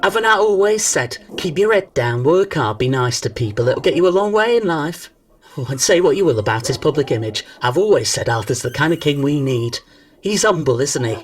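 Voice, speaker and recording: A man's voice: a little high, lively intonation, a little fast, far from the mic, faint music behind, close to an everyday voice. A man acting as a chatty, doting, anxious mother in a comic radio play, gossipy and coaxing. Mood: chatty, proud.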